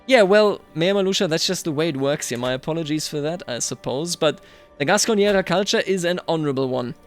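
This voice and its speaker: A man hosting a strategy game roleplay, thinking aloud in a musing, deliberate way as he comments and narrates. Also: fairly high pitch, lively intonation, faint music behind.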